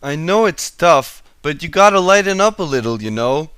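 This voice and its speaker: A man's voice: on the high side, expressive, moderate pace, a bit bright, smooth, no echo, pitched above the performer's own voice. A man voicing a baby male dragon helper in a storybook reading, caring but nagging in a boyish, coaxing way.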